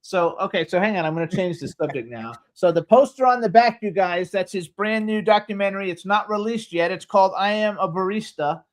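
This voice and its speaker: A man's voice: high-pitched, fairly quick, hardly any pauses. A man hosting an interview show in casual chat, gushing and exclamatory toward his guest. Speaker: talk show host.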